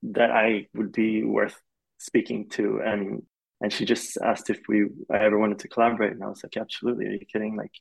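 Gravelly-voiced young man chatting loosely. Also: fairly low pitch, brisk, rough recording. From Malaysia. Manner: relaxed, rambling with many "like" fillers. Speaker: film composer, guest. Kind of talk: casual interview chat.

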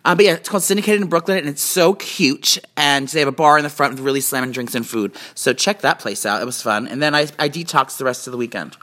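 Fast-talking, chatty American man. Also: medium pitch, sharp tone. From New Jersey, USA. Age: late 30s. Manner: chatty, rambling, slangy. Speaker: podcast co-host. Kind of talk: casual chat, storytelling. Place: indoors at a home. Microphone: phone.

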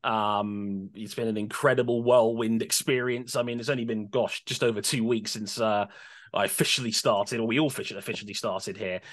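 A man's voice: on the low side, fairly even intonation, brisk, fairly bright tone. A man hosting a podcast, talking casually in a wry, self-deprecating way and often repeating words as he starts. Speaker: podcast co-host. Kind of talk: casual chat.

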